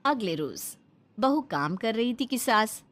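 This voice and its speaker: A woman's voice: fairly high pitch, big pitch swings, measured pace, background noise. A woman as narrator, reading a scripted comic story.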